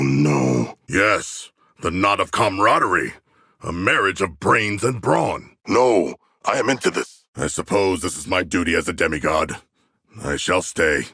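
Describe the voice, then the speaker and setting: An actor voicing a hulking, proud humanoid shark warrior in a video game, with formal, stilted diction, earnest grandiose declarations and a gravelly, husky voice. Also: male voice, low voice, pushed and bright, no room sound, clean studio sound, full character voice. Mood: subdued, reflective. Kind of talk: video game character dialogue.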